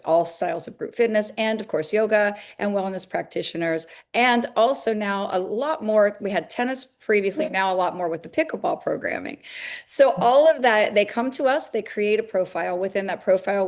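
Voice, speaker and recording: An upbeat, talkative woman in her fifties speaks as a guest over a video call. She explains her business with a steady stream of fillers and restarts. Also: mid-range voice. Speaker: guest, fitness company founder. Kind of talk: webinar interview.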